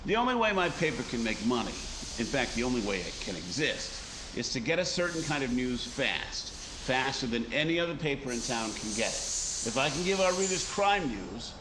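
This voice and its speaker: An actor with an American accent playing a driven newspaper editor who narrates a radio crime drama in hardboiled style. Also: male voice, mid-range voice, big pitch swings, moderate pace, background noise, lightly acted. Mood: persuasive. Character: driven, hard-bargaining newspaper editor narrating his story.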